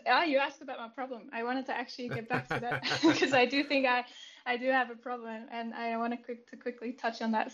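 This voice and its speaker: Young woman, from Switzerland, higher voice, monotone delivery, soft-spoken, muffled audio. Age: young adult. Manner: soft-spoken, laughs often, many ums. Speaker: podcast guest, software engineer. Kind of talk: casual podcast interview.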